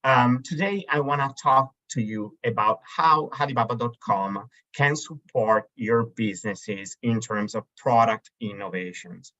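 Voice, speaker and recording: A man's voice: mid-range voice, unhurried, pushed and bright. A man in his thirties with an Italian accent presenting slides on a webinar, hesitant, with many fillers and restarts. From Italy. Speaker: webinar host for a marketplace. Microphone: video call. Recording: dull lo-fi video call audio.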